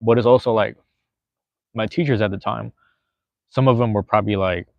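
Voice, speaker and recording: A man in his thirties talks in a loose, rambling way, full of slang and casual swearing. His voice sits fairly low. The recording is dry and dull, with a lo-fi sound. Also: moderate pace, creaky, no room sound. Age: 30s. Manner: rambling, laid-back, slangy, swears freely. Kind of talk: casual chat.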